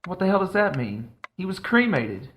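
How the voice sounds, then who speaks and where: A man's voice: sits high, big pitch swings, moderate pace, fairly bright tone, a little hiss or hum. A man playing a greedy, cynical middle-aged uncle in an audio drama, sardonic, impatient and irreverent. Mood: exasperated. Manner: sardonic, dry. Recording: music behind the voice.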